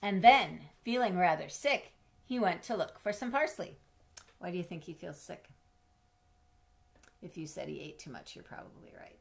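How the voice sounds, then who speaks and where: A middle-aged woman with a slightly low voice reads a children's story aloud. She is expressive and teacherly, moving between storybook narration and simple questions or explanations for young listeners. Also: normal speed, gravelly, pauses often. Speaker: storyteller reading a picture book. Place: small indoor home room with bookshelves.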